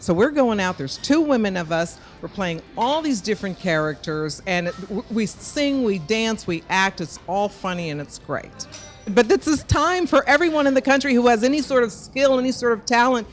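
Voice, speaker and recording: A woman speaking as an interview guest, quick and joking. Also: fairly high pitch, big pitch swings, soft background music. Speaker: guest entertainer. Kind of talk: interview answers and promotion.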